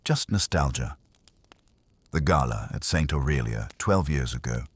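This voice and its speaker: Male reader as wisecracking detective's legman: very low, husky, glib, audiobook mystery. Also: animated, a little slow, no echo, heavily acted. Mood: glib, probing. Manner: glib, smooth-talking, wry. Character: brash, wisecracking male private investigator.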